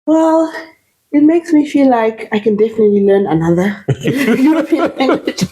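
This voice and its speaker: A woman's voice: on the high side, lively intonation, moderate pace, mellow, husky. A woman in her thirties from Zimbabwe whose first language is Shona, speaking as an interview guest with many fillers and restarts.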